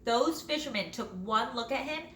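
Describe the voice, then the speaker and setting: A woman teacher reading a children's storybook aloud in an expressive, dramatic way with drawn-out phrases. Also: on the high side. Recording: roomy echo, light background noise.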